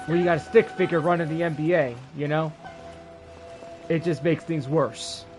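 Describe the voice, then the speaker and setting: A male game streamer with a somewhat high voice, chatting informally and rambling as he plays. Game music is clearly audible behind his casual commentary. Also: fairly even intonation, moderate pace, a little mellow.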